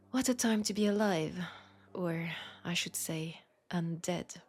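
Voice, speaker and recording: A woman playing a lonely, centuries-old female vampire in an intimate audio drama, with a French accent and a soft, confiding, unhurried delivery. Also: on the low side. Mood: dark amusement. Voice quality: soft, breathy, often dropping to a whisper. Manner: unhurried, confiding, pausing between phrases.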